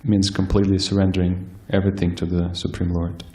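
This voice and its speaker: A man giving a scripture lecture, soft-spoken and hesitant, with frequent fillers and restarts. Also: low voice, completely flat delivery, fast. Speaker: speaker giving a scripture class. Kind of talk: sermon-style lecture. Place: temple hall with live audience.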